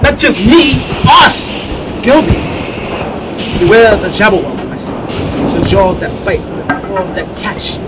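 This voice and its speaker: A man's voice: big pitch swings, measured pace, pauses often. An actor playing a drunken, eccentric madman in an audiobook drama, theatrical, whimsical and defiant. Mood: whimsical alarm. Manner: theatrical, dotty, declaiming nonsense verse. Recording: noisy, distant, rumbling backdrop.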